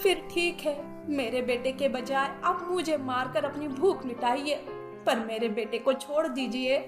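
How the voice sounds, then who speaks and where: Cartoon doe mother, voiced by a woman: high, slow, devoted and pleading, echoey over faint music. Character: self-sacrificing, pleading mother deer. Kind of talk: children's animated story character voice.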